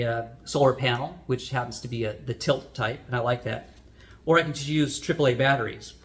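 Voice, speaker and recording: A man's voice: medium pitch, a bit bright. A man hosting a product review, explaining things in a plain, unscripted way as he demonstrates.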